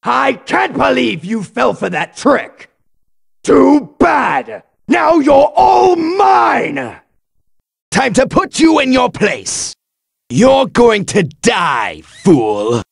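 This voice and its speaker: A man voicing an arrogant, vicious alien warrior villain in an anime fighting game, gravelly and raspy, sneering and taunting as he shouts. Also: extremely high pitch, sing-song, slow, no room sound, studio quality, exaggerated voice. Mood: gloating. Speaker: video game character voice actor.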